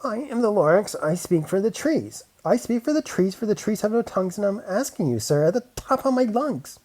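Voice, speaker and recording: A bossy little old forest creature from a storybook, acted out by a man. The voice is pitched high and sharp. It scolds with indignant, emphatic force. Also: very animated, brisk.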